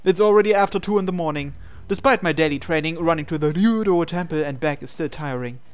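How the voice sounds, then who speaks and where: A man's voice: on the high side, fast talker, smooth, faint music behind. A man reading a visual novel aloud as a gamer, breaking into casual, incredulous asides. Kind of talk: game playthrough narration with casual asides.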